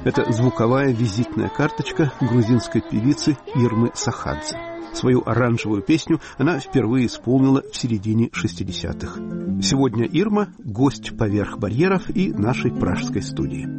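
A man presenting and interviewing on radio from a studio, with a measured announcing manner and long questions. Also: medium pitch, expressive, music in the background. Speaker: radio host.

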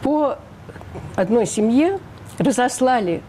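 Older female guest, mellow timbre, indignant and emphatic. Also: on the high side, expressive, slow pace, slight background noise. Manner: emphatic, indignant, rhetorical questions. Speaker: interview guest, refugee rights advocate. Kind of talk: radio interview.

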